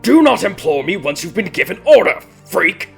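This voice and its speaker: A man voicing a stern, irritable king and grieving father with a rigid, domineering manner, in a dark fairy tale. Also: sits high, sing-song, moderate pace, creaky, slightly rough, pitched above the performer's own voice, full character voice. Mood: shouting angry. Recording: background music behind the voice.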